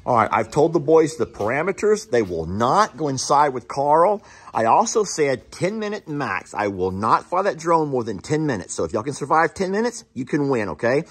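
A man's voice: medium pitch, sing-song, normal speed, slightly rough. A man, hosting a casual farm vlog outdoors and filming himself on a phone at arm's length. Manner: folksy, explains to viewers, hollers to his kids. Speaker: vlog host. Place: outdoors on a rural property. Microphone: phone camera at arm's length.

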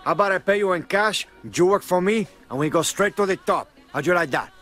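A swaggering drug lord. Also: male voice, high voice, a little fast, fairly bright tone, soft background music. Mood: cocky. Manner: swaggering, profane, cursing constantly. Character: brash hot-tempered Cuban male drug lord. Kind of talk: video game cutscene acting.

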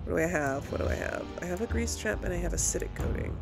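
A woman's voice: fairly low pitch, lively intonation, quick pace, creaky. A woman hosting a gaming video, thinking aloud in casual let's play commentary. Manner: thinking aloud, muttering to herself. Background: loud game music and combat sounds.

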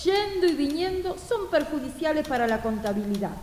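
A woman playing a high, mellow-voiced office clerk. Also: big pitch swings, brisk. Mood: earnest complaint. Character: wistful, complaining office clerk siding with coworkers. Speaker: amateur radio theatre actor. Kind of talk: radio play acting. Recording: echoey hall, faint noise, clicks.

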